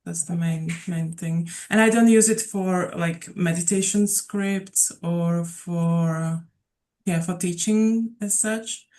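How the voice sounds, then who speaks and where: A woman's voice: lowish voice, slow pace, darkish tone. A woman teacher sharing how she works in a casual online discussion, friendly and a little hesitant. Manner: friendly, hesitant, with fillers and repeats. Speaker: mindfulness and English teacher.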